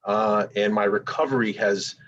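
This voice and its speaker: A man in his forties, an endurance athlete, talking haltingly with many pauses and fillers. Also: on the low side, fairly even intonation, fairly bright tone. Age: 40s. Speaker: endurance athlete giving a testimonial. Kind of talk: testimonial interview. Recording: dull lo-fi recording.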